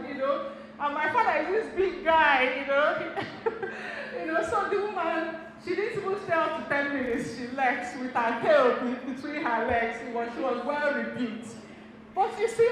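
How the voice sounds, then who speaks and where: High-voiced woman in an echoey hall. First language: Igbo. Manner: storytelling, animated, laughs often, addresses audience directly. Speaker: seminar speaker. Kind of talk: motivational talk to an audience. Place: community center hall.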